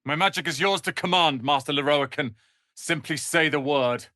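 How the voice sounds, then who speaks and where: A man's voice: fairly high pitch, moderate pace, sharp tone, no room sound, clean studio sound. A man playing a proud young wizard's apprentice in a fantasy video game, speaking in a pompous, articulate, theatrical manner.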